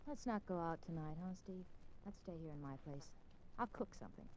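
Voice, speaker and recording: Mellow, caring young newspaperwoman. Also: on the low side, expressive, a little fast. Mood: coaxing, affectionate. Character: caring, classy young female newspaper reporter, plucky, encouraging. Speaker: radio drama actor, supporting lead. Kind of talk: 1950s radio crime drama acting. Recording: muffled, noisy vintage 1950s radio recording.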